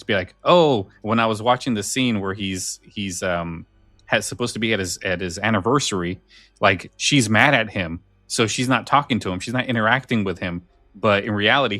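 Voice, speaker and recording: A man's voice: fairly low pitch, brisk, bright, forceful tone, dry recording. A man co-hosting a podcast, chatting casually and informally about stories and films. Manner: informal, conversational. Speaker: podcast co-host.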